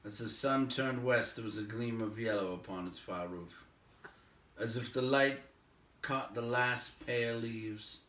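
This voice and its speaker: A man narrates a storybook aloud in a medium-pitched voice. His phrasing is expressive and dramatic. The recording is strongly roomy, with the microphone a little way off. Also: measured pace. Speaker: audiobook reader. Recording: roomy echo, distant microphone.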